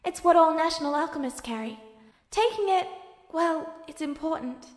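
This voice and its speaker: Woman voicing a high, gentle young boy. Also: normal speed, reverberant, in a large hall. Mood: anxious, earnest. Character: gentle earnest young boy in armor, soft-hearted little brother.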